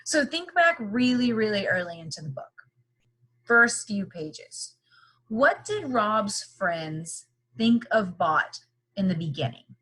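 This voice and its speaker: A woman's voice: medium pitch, very animated, slow pace, fairly bright tone, breathy edge, poor audio. A woman leading an interactive read-aloud lesson for young children, explaining simply and checking in with repeated questions. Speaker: teacher reading a picture book aloud.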